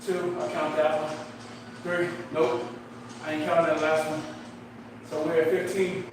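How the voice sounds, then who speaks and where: A man's voice: a little high, flat delivery, measured pace. Winded Missouri man counting reps. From Midwest, Missouri. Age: 40s. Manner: self-motivating, counting aloud, urging himself on. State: out of breath from exercise. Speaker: fitness livestreamer. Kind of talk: casual workout livestream chat, counting reps. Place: home workout space at night. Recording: echoey room, mic far off, noisy background.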